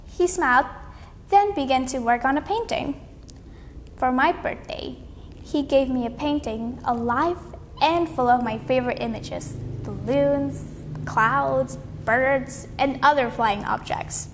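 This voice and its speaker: High-voiced young woman reading slowly. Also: long reverb tail, slight background noise. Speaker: read-aloud competition contestant. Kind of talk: expressive storybook read-aloud.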